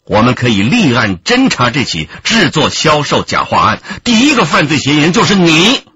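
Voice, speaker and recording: An actor playing a wily, taunting senior detective in a radio drama, needling with leading questions. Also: male voice, a little high, wildly swooping pitch, normal speed, sharp tone, highs cut off, exaggerated voice. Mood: stern, needling. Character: wily, taunting male police detective in command. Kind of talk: Chinese radio drama acting.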